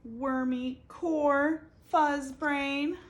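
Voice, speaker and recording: A woman voicing a feisty female beekeeper who snaps out insults loudly. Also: high voice, steady tone, drawn-out words, voice pushed up. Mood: snapping.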